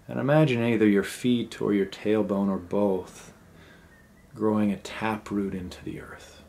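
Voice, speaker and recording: A man with a fairly low voice leads a guided meditation. He speaks gently and without hurry, in short phrases with pauses between them. The delivery is unscripted, as if he is finding each instruction as he goes. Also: steady tone, vocal fry.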